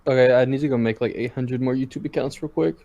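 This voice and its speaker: A man's voice: medium pitch, fast, dark tone, lo-fi sound. A man in his twenties co-hosting a casual podcast with friends, laid-back and steering the talk to new topics. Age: 20s. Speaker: podcast co-host. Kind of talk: casual chat among friends.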